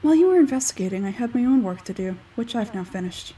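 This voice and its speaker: A woman voicing an aloof, logical, smug scholarly man from a game, measured and condescending, with a husky forced-low tone. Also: lively intonation, dark tone, distant mic, a little hiss or hum, natural voice, poor audio.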